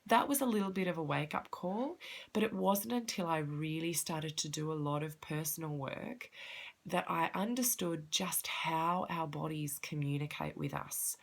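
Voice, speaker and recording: A woman's voice: sits low, gravelly. A woman with a congested, sniffly voice, talking earnestly and heartfelt in a personal reflective talk to her viewers. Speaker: wellness vlogger.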